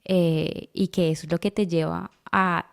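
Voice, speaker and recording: A woman hosting a podcast interview. Her voice sits slightly low. She builds her questions slowly and thoughtfully, with fillers along the way. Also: little pitch movement, vocal fry, clear, resonant tone. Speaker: podcast host.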